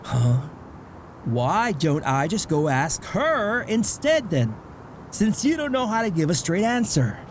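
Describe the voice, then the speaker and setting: A man's voice: high voice, sing-song, takes it slowly, slight background noise, full character voice. A man playing a blunt, nosy but caring father in a comic dub, probing and scolding. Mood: exasperated. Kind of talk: amateur comic dub voice acting.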